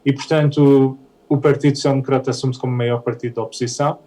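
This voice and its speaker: A man from Canada, a politician, giving measured, analytical comments on an election result, with frequent hesitations. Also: mid-range voice, little pitch movement, dark tone. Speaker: politician interviewee.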